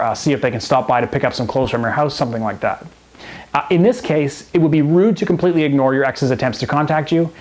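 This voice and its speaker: A man speaking as a relationship coach and host, delivering scripted advice straight to the viewer in an instructive way. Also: mid-range voice. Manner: instructive, direct address to the viewer. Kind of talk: scripted advice video to camera.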